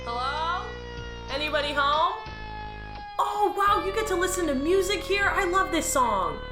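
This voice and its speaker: A woman's voice: extremely high pitch, animated, a little slow, echoey room, over music. A woman playing a cheerful, naive new alien employee, chipper and earnest. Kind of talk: audio drama.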